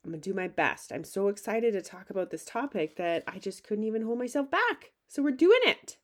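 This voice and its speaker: An enthusiastic woman coach talks through her own podcast episode, her voice roughened slightly by a cold. Her pitch swings widely as she chats, casual and encouraging. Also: big pitch swings, a little fast. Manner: upbeat, enthusiastic, conversational. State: has a cold, slightly gravelly. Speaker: podcast host and life coach. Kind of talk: solo podcast monologue.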